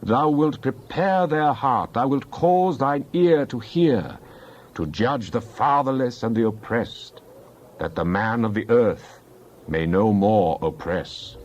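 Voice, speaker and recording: A man giving a dramatized Bible reading in a solemn, declamatory manner with deliberate phrasing. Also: mid-range voice, expressive, lo-fi sound. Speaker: scripture narrator.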